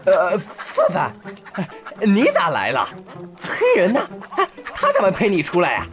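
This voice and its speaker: Excitable patrol officer with swooping pitch. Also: male voice, a little slow, over music, heavily acted. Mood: curious. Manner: colloquial, blustering, repetitive. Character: excitable, chatty, exasperated male patrol officer. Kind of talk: comedic radio drama acting.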